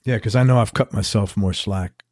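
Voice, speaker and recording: A man in his fifties hosting a casual interview, relaxed and loose-tongued, with a voice that sounds younger than his age. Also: fairly low pitch, moderate pace, no echo, clean studio sound. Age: 50s. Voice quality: youthful-sounding voice. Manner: relaxed, swears freely, calls guest "man" and "bro". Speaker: interview host.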